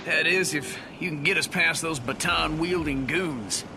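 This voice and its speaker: An actor playing a brash, wisecracking slacker sidekick in a video game, with a loose, slangy, joking manner. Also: male voice, on the high side, measured pace, vocal fry, noisy background. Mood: joking, casual. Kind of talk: scripted game dialogue. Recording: loud game music behind.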